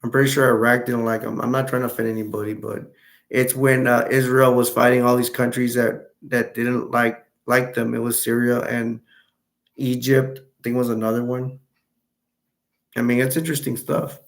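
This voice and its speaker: A young man from Texas chatting casually on a live stream, answering viewers in a rambling, halting way with many restarts. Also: medium pitch, level tone, vocal fry. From Texas, United States.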